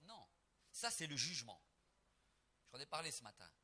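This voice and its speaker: Brief: middle-aged gospel preacher from Portugal, bright piercing tone, emphatic swells and soft reflective asides. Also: male voice, on the high side, big pitch swings, moderate pace. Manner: emphatic, rising to near-singing on key phrases; soft and reflective when telling family memories. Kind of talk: preaching between songs at a concert.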